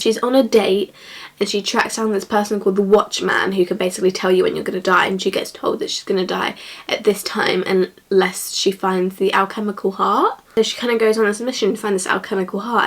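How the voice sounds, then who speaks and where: Young woman with a stuffy nose. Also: medium pitch. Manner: rambling, chatty, lots of "like" and "kind of". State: blocked nose from a cold. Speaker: book reviewer. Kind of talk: casual chatty vlog.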